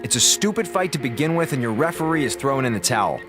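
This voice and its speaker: Man as a flustered, animated space officer. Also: mid-range voice, fast, over music, natural voice. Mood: exasperated. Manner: stammering, nervous backpedaling. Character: flustered, evasive, placating male space station officer. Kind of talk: radio drama comedy acting.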